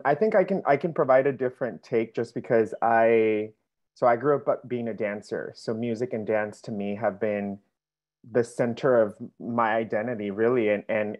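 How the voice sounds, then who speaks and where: A man's voice: medium pitch, a little mellow. Earnest man on a muffled video call. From Mexico. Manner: earnest, repeats words while thinking. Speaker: interviewee, dancer. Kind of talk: reflective panel discussion.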